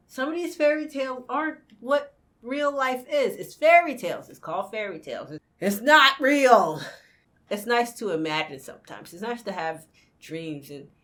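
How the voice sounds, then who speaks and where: A woman's voice: fairly low pitch, a bit bright. A young woman hosting a reaction video, talking bluntly and swearing freely as she comments.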